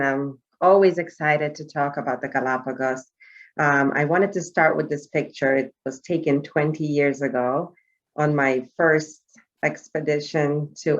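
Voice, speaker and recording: A woman's voice: deep voice, fairly even intonation, normal speed, lo-fi sound. A woman, giving a chatty webinar talk as a travel sales trainer, with many fillers and restarts. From United States. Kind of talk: webinar presentation.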